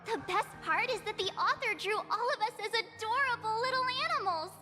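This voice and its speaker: A woman voicing a cheerful, excitable young girl adventurer in an anime-style video game, perky and eager. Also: very high-pitched, brisk, big-room sound. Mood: bubbly, excited. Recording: music behind the voice.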